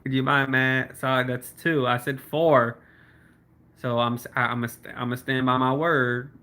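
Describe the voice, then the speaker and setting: A man's voice: medium pitch, level tone, moderate pace, sharp tone. A man in his late twenties hosting a casual livestream, chatting with viewers by name and laughing often. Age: 20s. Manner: laid-back, laughs often, talks to chat by name. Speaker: livestream host. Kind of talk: casual livestream chat reading comments.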